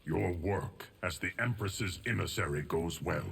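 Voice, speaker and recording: Grave game warrior, low and gravelly, very noisy clip. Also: male voice, unhurried. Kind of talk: video game dialogue acting. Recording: loud noise with clicks.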